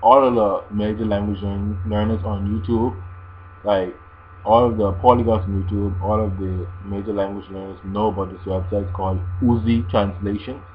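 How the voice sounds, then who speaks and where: A man's voice: lowish voice, steady tone, normal speed. A man giving casual, explanatory advice to viewers in a language-learning video blog, often repeating his phrases as he goes. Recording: dull lo-fi sound with mains hum.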